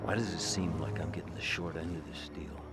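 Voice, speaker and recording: Actor as low-voiced, grim virus-born antihero. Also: male voice, fairly even intonation, brisk, close to an everyday voice. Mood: cold, defiant. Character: brooding man transformed by a virus, grim and vengeful. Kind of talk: dramatic video game cutscene acting and narration. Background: loud dramatic music.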